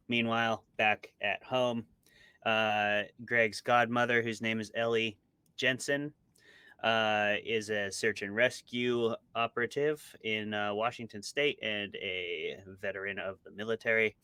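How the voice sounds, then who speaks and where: Man, lowish pitch, clear resonant voice, casual interviewee, conversational explaining, close dry sound. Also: sharp tone, no room sound. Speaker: interviewed author, podcast co-host.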